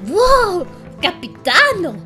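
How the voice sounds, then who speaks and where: A woman voicing an excitable, blurting sergeant in a comic storybook. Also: extremely high pitch, slow pace, soft background music, pitched above the performer's own voice, heavily acted. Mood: astonished. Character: excitable, blunt, eager sergeant in a comic tale.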